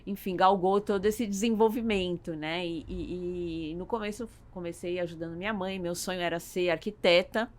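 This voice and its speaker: A Brazilian woman talks as an interview guest in a conversational style. Her pitch is a bit low, and she moves haltingly through her thoughts, repeating words and checking in with small tag questions. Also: steady tone. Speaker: podcast guest, salon business owner.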